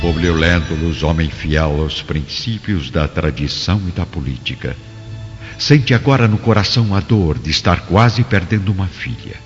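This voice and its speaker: Deep, solemn male radio drama narrator. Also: animated, moderate pace. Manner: solemn, theatrical. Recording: dull, reverberant recording with music.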